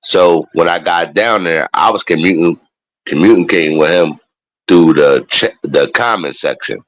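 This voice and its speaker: A man's voice: sits low, moderate pace, a bit bright, no echo, poor audio. A man, chatting loosely and profanely as a guest on a live stream. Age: 40s. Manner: loose, profane street talk. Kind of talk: casual chat.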